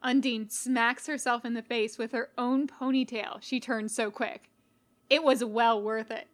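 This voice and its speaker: A woman giving an expressive narration of a scripted story, as an audiobook-style reader. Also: fairly high pitch, unhurried. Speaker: podfic reader.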